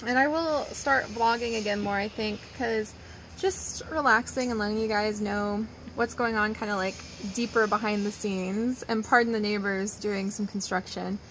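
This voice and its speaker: A woman's voice: medium pitch, steady tone, normal speed, a bit bright, a little hiss or hum, low-quality recording. A young woman in her twenties talks casually to her viewers from an outdoor wooden deck, rambling and upbeat. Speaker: vlogger. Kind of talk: casual chatty vlog. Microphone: phone or camera held close.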